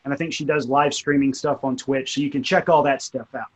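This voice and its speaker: A man's voice: mid-range voice, fairly even intonation, fairly quick. A man chatting casually as a podcast co-host. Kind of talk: casual chat.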